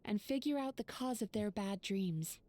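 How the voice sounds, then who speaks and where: A woman's voice: mid-range voice, expressive, moderate pace, warm tone, no room sound, natural voice. A woman playing a composed, caring young female dream therapist in a video game, articulate and explanatory. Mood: grave, concerned. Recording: music behind.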